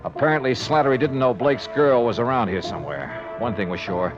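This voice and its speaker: An actor playing a wry, insistent American private investigator in 1950s radio drama style, clipped and sardonic. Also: male voice, medium pitch, brisk, lightly acted. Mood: tense narration. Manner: clipped, sardonic, tough-talking. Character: hard-boiled male insurance investigator, wry and insistent. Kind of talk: 1950s radio detective drama acting and narration. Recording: dull vintage radio recording, music behind.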